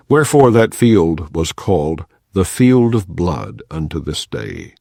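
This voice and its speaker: A man reading scripture aloud in a measured, solemn way, lifting his voice for the quoted speech. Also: low-pitched, creaky, warm tone, no room sound, clean studio sound. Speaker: scripture reader.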